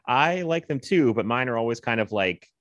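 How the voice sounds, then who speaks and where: A man's voice: mid-range voice, lively intonation, normal speed, fairly bright tone, no echo, highs cut off. An American man hosting a podcast, chatting casually in a jokey, rambling way. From Virginia, US. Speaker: podcast host. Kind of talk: casual chat.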